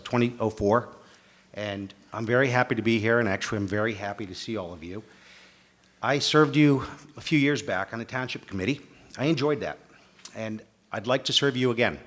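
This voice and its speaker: A man's voice: mid-range voice, normal speed, a bit bright. A man running for township committee, giving a friendly, plainspoken opening statement.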